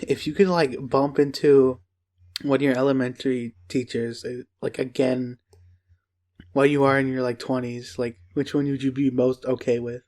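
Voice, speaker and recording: A young man co-hosting a podcast in casual comedic chat, teasing and playful, feeling his way haltingly through sentences. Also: medium pitch, little pitch movement, a little mellow. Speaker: podcast co-host.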